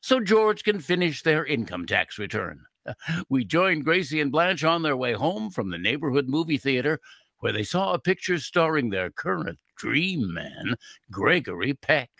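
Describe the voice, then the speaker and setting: Animated 1940s radio announcer, high-pitched man. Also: moderate pace, gravelly, dry recording, heavily acted. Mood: upbeat.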